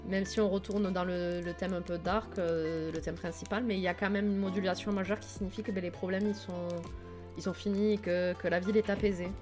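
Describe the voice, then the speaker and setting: A young woman from Toulouse with a southern French accent, chatting casually and enthusiastically with her stream viewers, with plenty of fillers. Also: medium pitch. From southern France, Toulouse. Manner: chatty, enthusiastic, many fillers, talks to chat. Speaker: livestream host.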